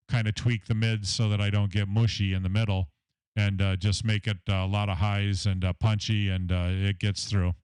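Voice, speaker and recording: A deep-voiced man with a Southern American accent, chatting casually about his radio audio setup from his home shack, with a lot of fillers and technical talk. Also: darkish tone, clean tone, no echo, low-quality recording. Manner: rambling, filler-heavy, technical. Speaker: ham radio operator, station owner.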